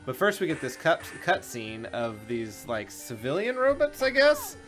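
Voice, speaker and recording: A man's voice: fairly high pitch, very animated, unhurried, fairly bright tone. A man co-hosting a game show, giving casual, informal and sweary commentary as he plays. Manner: informal, profane. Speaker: video game show co-host and player. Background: game music.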